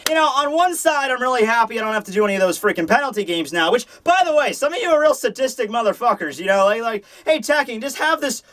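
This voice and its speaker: High-voiced man, sarcastic, excitable reviewer. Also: fast talker, few pauses. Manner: excitable, rambling, sarcastic asides with acted-out bits. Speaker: host of a manga review show. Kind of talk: casual, profane comedic review commentary.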